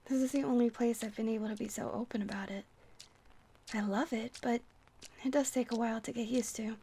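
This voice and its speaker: High-voiced, gentle vampire woman played by an actress. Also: fairly even intonation, a little fast, slight background noise, close to an everyday voice. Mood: chatty, sheepish. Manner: gentle, chatty, whimsical rambling. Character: friendly, rambling young-looking vampire bartender woman, centuries old. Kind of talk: ASMR roleplay monologue.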